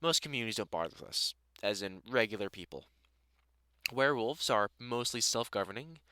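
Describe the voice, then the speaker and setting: Man playing a sardonic British vampire hunter, crisp and bright. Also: on the low side, expressive, fairly quick, no room sound. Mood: wry, explanatory. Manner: dry, sarcastic, chatty, informal with mild swearing. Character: gruff, sardonic but welcoming male vampire hunter. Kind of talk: conversational one-sided audio roleplay addressed to the listener.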